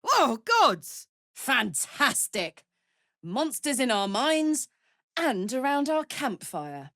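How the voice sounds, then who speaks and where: A woman's voice: high voice, very animated, slow pace, bright, forceful tone, no room sound, clean studio sound, full character voice. A woman voicing a brash, big-hearted, battle-hardened warrior woman in a video game, blunt and slangy. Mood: excited. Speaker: video game character voice actor. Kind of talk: video game character voice lines.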